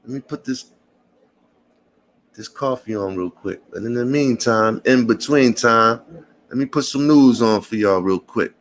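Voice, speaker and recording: A man, chatting casually to passersby and viewers in a relaxed morning vlog, calling everyone brother and man. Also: mid-range voice, measured pace, slight background noise. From Puerto Rican family. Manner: laid-back, slangy, calls people brother and man. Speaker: vlogger.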